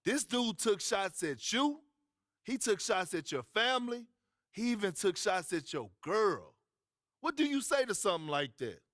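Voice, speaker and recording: A man's voice: high-pitched, very animated, normal speed, fairly bright tone, no echo, studio quality. An actor playing a blunt male sports TV panelist who presses the guest with skeptical questions. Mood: probing. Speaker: sports talk show panelist. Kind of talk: video game cutscene voice acting.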